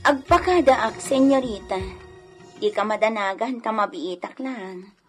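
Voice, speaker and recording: An actress playing a worried, loyal household servant in a radio drama, anxious and deferential, with a Filipino accent. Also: female voice, a little high, unhurried, soft background music, lightly acted. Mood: concerned. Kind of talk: Ilocano radio drama acting.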